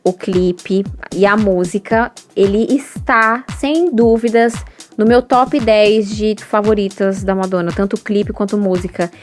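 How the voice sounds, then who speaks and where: A woman's voice: mid-range voice, fairly even intonation, unhurried, faint music behind. A Brazilian woman co-hosting a fan podcast, chatting casually in an effusive, colloquial way. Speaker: podcast co-host.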